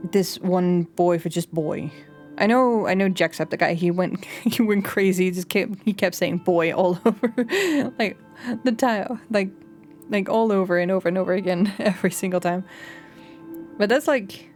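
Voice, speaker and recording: Young Danish woman, lowish voice, mixed English accent, rambling live-stream chatter over faint music. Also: darkish tone. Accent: light Danish, mixed English accents. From Denmark. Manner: rambling, many fillers and restarts, chatty. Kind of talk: casual chat with live chat.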